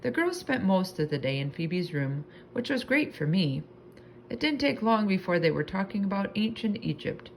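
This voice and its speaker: A woman reading a children's book aloud in an animated, expressive storytelling manner. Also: mid-range voice, moderate pace, a little hiss or hum, rough recording.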